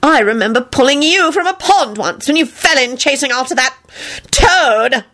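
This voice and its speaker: A woman voicing an awkward, loyal young man who is anxious, earnest and quick to temper. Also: a little high, lively intonation, darkish tone, no room sound, pitched above the performer's own voice. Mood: confrontational. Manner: blurting, flustered.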